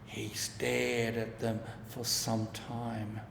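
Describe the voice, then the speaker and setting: Male narrator for a children's storybook. Unhurried read, long reverb, some background noise. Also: mid-range voice, monotone, fairly bright tone. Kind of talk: scripted children's story reading. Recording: echoey reverberant room with clear background hiss.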